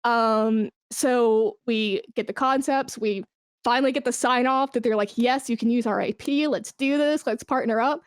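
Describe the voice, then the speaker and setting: Interview guest: woman with a fairly high voice, enthusiastic, informal, conversational, recorded very dry. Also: moderate pace, smooth, dry recording. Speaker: interview guest, esports brand manager. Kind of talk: casual interview chat.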